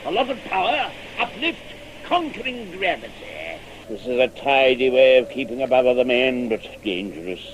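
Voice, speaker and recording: An actor playing a weary yet gleeful warlord piloting a balloon in a radio drama, theatrical and relishing. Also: male voice, medium pitch, very animated, moderate pace, few pauses, slight background noise, lightly acted, low-quality recording. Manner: theatrical, relishing, broken by chuckles. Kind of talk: 1980s radio drama acting.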